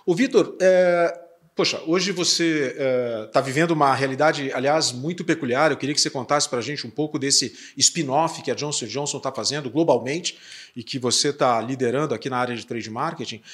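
A man from Curitiba whose accent has blended with São Paulo speech. He hosts a business podcast and keeps the conversation moving with friendly, encouraging remarks. His voice sits at a middle pitch. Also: moderate pace, a bit bright. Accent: Curitiba Portuguese softened by São Paulo. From Curitiba, Brazil. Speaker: podcast host.

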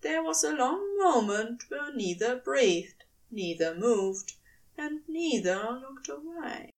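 A woman reading fiction aloud as a narrator, giving an expressive scripted performance. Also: high voice, slow pace, distant mic. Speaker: podfic reader and narrator.

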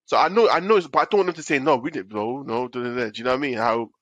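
A man's voice: medium pitch, very fast, a bit bright, no echo. Nasal man telling a story. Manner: rambling storyteller, repeats himself. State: nasal from a cold. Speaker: podcast co-host. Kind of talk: casual chat.

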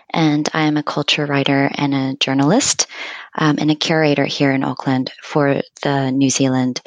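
A woman born in Japan and raised in several countries, a journalist guest who speaks in a measured, careful way. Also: rumbling low, no room sound, highs cut off.

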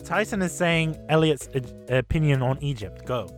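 A man's voice: on the high side, animated, normal speed, soft background music. A young man from Britain hosting a casual podcast, rambling and joking with laughs as he talks. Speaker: podcast host.

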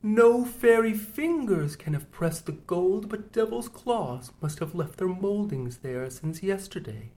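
A man reading an audiobook, voicing a pious, anxious first mate in a quiet, earnest murmur. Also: high voice, lively intonation, measured pace, hardly any pauses. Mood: troubled.

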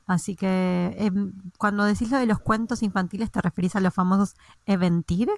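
A woman's voice: medium pitch, steady tone, normal speed, creaky, darkish tone, no echo. A woman with an Argentine accent, co-hosting an interview podcast, who asks curious questions and shares her own experiences. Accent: Argentine Spanish. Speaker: podcast co-host. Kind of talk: casual interview conversation.

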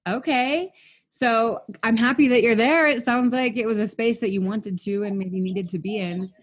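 A woman in her thirties hosting a casual interview, chatty and upbeat, firing off quick follow-up questions. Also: fairly high pitch, fairly even intonation. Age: 30s.

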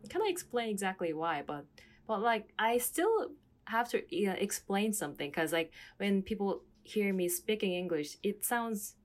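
A woman's voice: medium pitch, very animated. A young woman from South Korea, a native Korean speaker, talking casually and reflectively while searching for her words. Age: young adult. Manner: halting, searching for words, frequent "like". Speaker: conversation partner.

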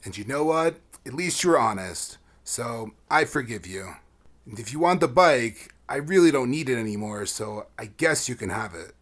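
A man's voice: medium pitch, animated, moderate pace, fairly bright tone. A man voicing a friendly young boy who speaks earnestly. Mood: forgiving.

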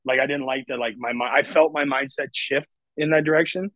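A man's voice: medium pitch, steady tone, brisk, a bit bright, dry recording. A man in his twenties, a podcast guest talking candidly and rambling, filling his speech with "like". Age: 20s. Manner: candid, rambling, heavy use of "like". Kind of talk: casual podcast conversation. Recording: dry, dull lo-fi audio.

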